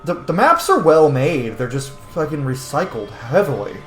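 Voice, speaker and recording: A man's voice: a little high, big pitch swings, normal speed, fairly bright tone. A man giving casual, sweary commentary as he plays a video game. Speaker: gaming streamer. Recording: roomy echo, game music behind. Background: video game music.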